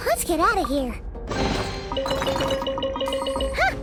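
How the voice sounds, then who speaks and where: A woman voicing a tiny, childlike fairy companion in a video game, squeaky, bubbly and excitable. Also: a little fast, heavily acted. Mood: relieved, proud. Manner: refers to self in third person, bubbly. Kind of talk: cartoonish video game voice acting. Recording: music under the voice.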